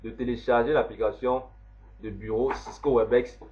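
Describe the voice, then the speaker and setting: A man, slowly walking through a software setup step by step as an instructor. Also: mid-range voice, steady tone, slight background noise. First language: French. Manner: slow, step-by-step explaining. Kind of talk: screen-recorded software tutorial. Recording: dull lo-fi voice recording.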